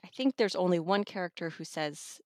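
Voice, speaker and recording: A woman's voice: fairly low pitch, fairly quick, no echo, clean studio sound. A woman reviewing audiobooks in a casual conversation. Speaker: audiobook reviewer and podcast guest. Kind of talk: casual book discussion.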